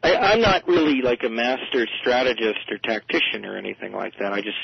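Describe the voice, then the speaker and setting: A man with a creaky, gravelly voice gives informal political commentary as a radio guest. He speaks haltingly and rewords himself, in a dry, muffled recording. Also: medium pitch, dry recording. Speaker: interview guest, journalist and commentator.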